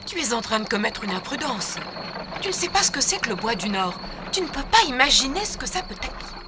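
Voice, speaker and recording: A woman's voice: a little high, very animated, a little fast, noisy background. A woman voicing a concerned, protective lady friend in a children's cartoon, speaking seriously and with caution. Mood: warning. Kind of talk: dubbed children's cartoon acting.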